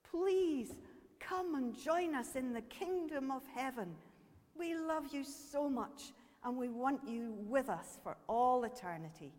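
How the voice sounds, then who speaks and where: High, delighted old granddaughter done by a woman. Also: animated, slow, darkish tone, full character voice. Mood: joyful pleading. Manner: pleading, affectionate. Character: delighted, loving elderly granddaughter in heaven. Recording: echoey hall with long reverb.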